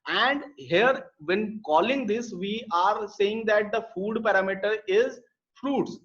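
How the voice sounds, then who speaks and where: A man's voice: sits high, takes it slowly, a bit bright. A man teaching a live programming class, explaining step by step with frequent fillers and repeated words. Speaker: programming instructor. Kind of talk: live online coding lesson. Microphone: computer mic in a live class. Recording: dull lo-fi live class audio.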